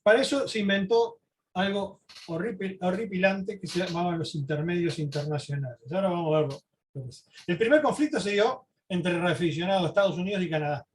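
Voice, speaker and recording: A man giving an informal slide lecture, halting, repeating words and often adding short questioning tags. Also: high-pitched. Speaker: presenter giving a history talk.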